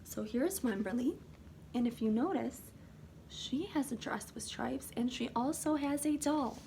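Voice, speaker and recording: A woman's voice: high voice, gravelly, warm tone, a little hiss or hum. A woman teacher reading a children's storybook aloud expressively, in short phrases for young listeners. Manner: pauses to ask listeners questions. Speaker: kindergarten teacher.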